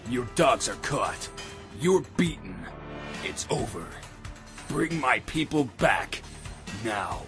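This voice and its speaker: A man voicing a furious, grieving echidna hero in a forceful, strained comic dub performance. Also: mid-range voice, big pitch swings, slow, pauses often. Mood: bitter, demanding. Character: furious, grief-stricken male echidna hero. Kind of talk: amateur comic dub voice acting. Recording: music loud behind the voice.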